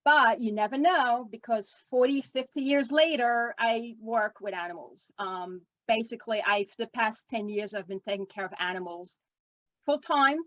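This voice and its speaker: A woman's voice: on the high side, moderate pace, fairly bright tone, no room sound. A retired nurse in her sixties, a woman from Quebec with a French Canadian accent, chatting at length and rambling with lots of ums in an interview over a video call. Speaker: guest artist. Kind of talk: casual interview chat. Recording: dull lo-fi video call audio.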